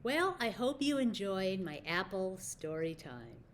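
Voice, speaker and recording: Woman, children's storyteller, unhurried pace, medium pitch, simple direct prompts to young listeners. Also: big pitch swings, a little hiss or hum. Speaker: children's library story time presenter.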